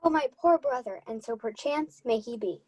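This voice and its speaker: A shipwrecked young noblewoman in a radio Shakespeare play, acted by a woman. Her voice sits fairly high, with a mellow, husky grain. She is grieving yet resolute, speaking in verse-like period language. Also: expressive, moderate pace, low-quality recording. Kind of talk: student Shakespeare radio acting.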